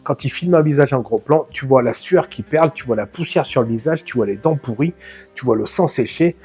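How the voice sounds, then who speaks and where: A man hosts a relaxed film-history talk, speaking to the viewer as a friend. His voice has a slightly husky grain at an ordinary pitch. Faint music sits behind him. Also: fairly quick. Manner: chatty, addresses viewer informally, self-mocking asides. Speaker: film channel host. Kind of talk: casual film analysis to camera.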